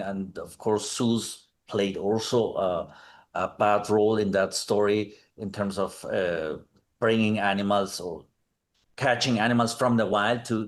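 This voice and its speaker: A middle-aged man from Mexico City, a conservation manager answering interview questions thoughtfully, with many fillers and restarts. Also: on the low side.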